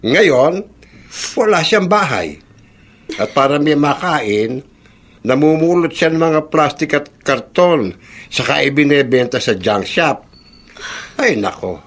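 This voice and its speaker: Gossipy elderly Filipino man, radio drama: raised pitch, very animated, full of exclamations. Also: slow, long pauses. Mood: gossipy, pitying. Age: older. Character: Mang Pilo, chatty old male slum neighbour.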